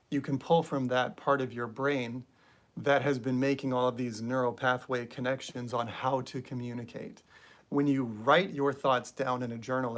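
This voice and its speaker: A young man hosts a spiritual live stream in a loose, easygoing way. His voice sits at an ordinary middle pitch, and he drifts through his points with plenty of ums and uhs. He stops now and then to read viewer comments aloud. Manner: relaxed, rambling, with many fillers. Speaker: spiritual channel host.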